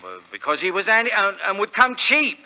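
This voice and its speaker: Humble english shopkeeper, halting and earnest, highish voice, echoey muffled old radio play. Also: male voice, wildly swooping pitch, pushed and bright, exaggerated voice. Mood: earnest, deferential. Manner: halting, deferential, wordy storytelling. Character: earnest, plodding, thrifty London shopkeeper. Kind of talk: 1940s radio drama acting. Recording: dull, reverberant vintage radio recording.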